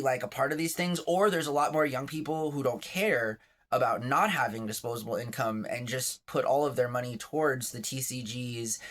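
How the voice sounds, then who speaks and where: A man in his thirties rambles through a laid-back home vlog, chatty and self-mocking, drifting into asides as he goes. His voice sits at an ordinary middle pitch for a man, and he sounds like he is talking from bed just after waking up. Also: a bit bright. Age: 30s. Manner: chatty and self-deprecating, many fillers and asides. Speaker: vlogger, channel host. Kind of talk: rambling casual vlog monologue.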